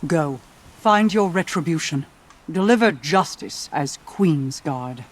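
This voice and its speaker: A regal game-cutscene queen, imperious and solemn. She speaks in formal, grand declarations like orders to a champion, with clear effects noise behind her. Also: low voice, lively intonation, takes it slowly. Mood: solemn, commanding. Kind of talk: video game cutscene acting.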